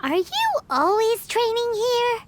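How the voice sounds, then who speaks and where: A woman voicing a small, chirpy, curious childlike fairy companion in a video game, with a squeaky, cutesy delivery. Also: sing-song, slow pace, noisy background, full character voice. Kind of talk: video game character acting. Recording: loud game music behind.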